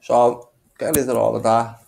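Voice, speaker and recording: A man's voice: medium pitch, fairly even intonation, moderate pace, vocal fry, background noise. A man giving casual, rambling commentary as he shares his screen, thinking aloud as he goes. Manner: rambling, thinking aloud, muttering little filler tunes. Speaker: video maker and narrator.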